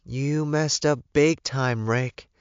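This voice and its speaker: Man voicing a blunt scolding woman, pitched up. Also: fairly even intonation, slow, clear, resonant tone, no echo, dull audio. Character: scolding, blunt, fed-up young woman werewolf.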